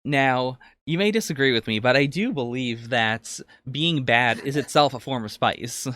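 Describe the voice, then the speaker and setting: A man's voice: medium pitch, moderate pace, fairly bright tone, no room sound. A man with a mid-range voice co-hosts a podcast in a dry-sounding recording. He talks casually through a review, often hedging his opinions. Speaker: podcast co-host.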